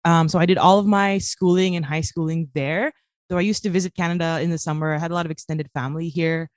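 A woman's voice: on the low side, steady tone, fast talker, a little mellow, dry recording, clean studio sound. A woman in her late twenties who grew up in Kuwait, speaking as a podcast guest in an earnest, casual way with many fillers. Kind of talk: casual interview talk.

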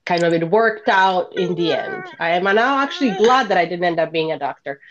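A woman from Bosnia, a podcast guest in her thirties telling animated stories in a casual interview and laughing often. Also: lowish voice, normal speed, muffled recording.

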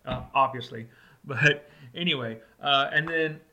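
Guy co-hosting a movie review, super bright tense voice, says like and uh a lot. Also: mid-range voice, slow pace, gravelly, dull audio. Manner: rambling, heavy on like and uh. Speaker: co-host. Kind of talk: casual chat.

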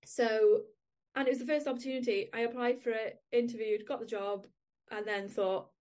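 A woman's voice: fairly high pitch, steady tone, normal speed, a little mellow. A young adult woman with a Welsh accent, talking candidly and chattily as a podcast co-host, laughing often. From Wales. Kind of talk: casual chat.

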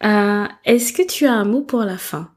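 A woman hosts a conversational podcast interview. She asks her questions gently, pausing with soft hesitations as she finds her words. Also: mid-range voice.

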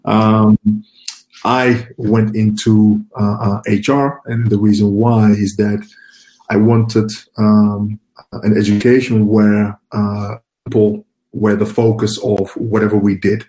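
A man in his forties from Ghana, speaking as an interview guest. His voice is low, dark and mellow, and it barely rises or falls. He talks haltingly, filling gaps with "um" and "you know" and repeating words as he goes. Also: flat delivery, rough recording. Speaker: guest, HR professional. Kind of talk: casual interview answers.

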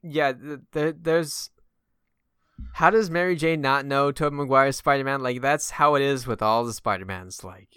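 A man's voice: mid-range voice, normal speed, fairly bright tone, clean tone, dry recording, studio quality. A man hosting a casual film discussion, rambling and often stammering as he works through his points. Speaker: film podcast host.

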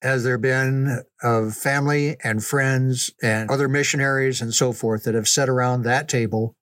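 An older man reminiscing casually in a folksy, unhurried, conversational way, as a storyteller. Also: mid-range voice, steady tone, fairly bright tone, dry recording, clean studio sound. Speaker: storyteller recalling ministry memories.

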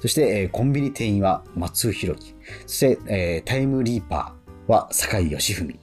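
Low-voiced, easygoing male radio host. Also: moderate pace, faint music behind. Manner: relaxed, with many fillers and chuckles. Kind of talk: casual radio chat.

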